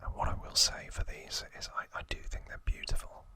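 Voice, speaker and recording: A man hosting ASMR in a breathy, unvoiced whisper, reading and commenting in a hushed, deliberate way. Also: dry recording. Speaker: ASMR host.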